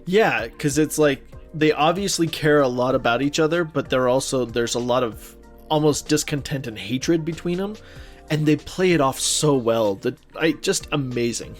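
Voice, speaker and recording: A man's voice: mid-range voice, normal speed, soft background music. A man co-hosting a podcast, chatting casually about films and games in an enthusiastic, emphatic way. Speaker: podcast co-host.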